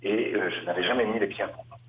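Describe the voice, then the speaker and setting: A middle-aged man from western France, a Dominican priest, answering interview questions with many pauses and restarts. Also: lowish voice. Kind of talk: radio interview. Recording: roomy, slightly dull radio sound.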